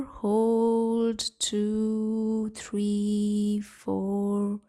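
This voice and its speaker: Very slow, mellow female meditation guide. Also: mid-range voice. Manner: soothing, gentle, unhurried with long pauses. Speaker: meditation guide and series host.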